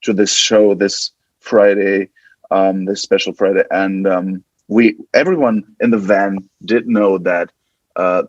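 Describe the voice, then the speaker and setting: A man's voice: sits low, fairly even intonation, slow, no room sound, dull audio. A man from West Germany with a German accent, chatting casually as an interview guest and often repeating and restarting his words. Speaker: podcast guest, band guitarist.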